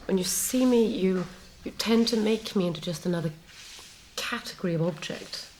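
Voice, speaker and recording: A woman playing a thoughtful, tender hero in a dramatic reading of a philosophical futuristic story, speaking contemplatively with pauses mid-phrase. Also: mid-range voice, a little mellow, a little hiss or hum, lightly acted, low-quality recording. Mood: tender, earnest.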